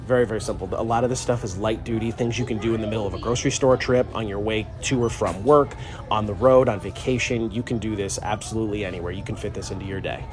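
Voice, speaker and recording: A man co-hosting a casual live stream from inside a pharmacy, explaining the work in a relaxed, rambling way with lots of fillers. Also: fairly low pitch, fast. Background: store music.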